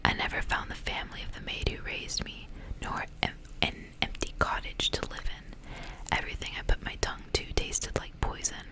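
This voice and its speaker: Softly whispering woman, ASMR style. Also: moderate pace, rough recording. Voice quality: breathy unvoiced whisper. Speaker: ASMR creator reading stories aloud. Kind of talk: whispered ASMR storybook reading with casual asides.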